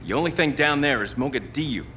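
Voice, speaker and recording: Bright, forceful pragmatic male colonel. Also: mid-range voice, lively intonation, fast talker, a little hiss or hum. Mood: matter-of-fact. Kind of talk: cinematic military game dialogue.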